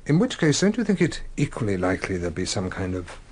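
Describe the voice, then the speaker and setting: An actor playing an eccentric older English intellectual, halting and donnish, in a radio drama. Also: male voice, low voice, wildly swooping pitch, a little mellow, a little hiss or hum. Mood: worried. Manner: halting, donnish, rhetorical questioning.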